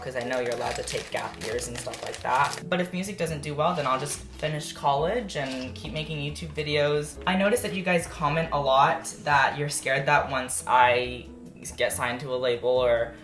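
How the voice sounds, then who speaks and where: A man's voice: mid-range voice, fairly even intonation, normal speed. Teen guy rambling while he bakes, first language japanese, talks real articulate, echoey kitchen with faint music. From United States. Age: late teens. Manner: rambling, carefully articulated words. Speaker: YouTuber. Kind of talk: casual baking vlog chat. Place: home kitchen.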